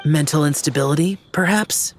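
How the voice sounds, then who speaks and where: A woman voicing a reserved young male demon slayer in a video game, terse, formal and deadpan. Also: low-pitched, moderate pace, soft background music. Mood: puzzled, dry.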